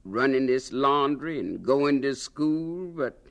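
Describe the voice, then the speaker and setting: Slow, folksy aunt. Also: female voice, a bass voice, expressive, heavily acted. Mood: wry, proud. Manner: folksy, scolding, exclamatory. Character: older plain-spoken skeptical aunt, proud but discouraging. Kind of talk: radio drama acting.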